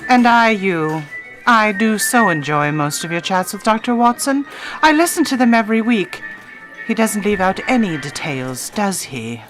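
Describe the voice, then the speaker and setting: A woman's voice: medium pitch, lively intonation, normal speed, music in the background, close to an everyday voice, poor audio. A woman acting a haughty society wife in a radio drama, coolly polite, formal and pointed. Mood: icily polite.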